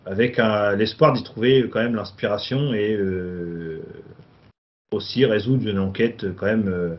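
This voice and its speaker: A man's voice: lowish voice, unhurried. A man co-hosting a casual film discussion, summarizing a plot with many hesitations and fillers. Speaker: film podcast co-host. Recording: dull lo-fi sound.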